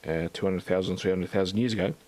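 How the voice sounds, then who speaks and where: Rambling, lowish-voiced man with an Australian accent. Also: fast talker, darkish tone. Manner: rambling and hesitant, full of ums, restarts and asides. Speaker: archaeology channel host. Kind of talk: casual vlog commentary reading out a study.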